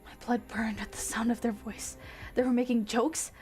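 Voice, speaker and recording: High-voiced, expressive female streamer. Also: normal speed. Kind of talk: dramatic read-aloud of visual novel with casual commentary. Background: music.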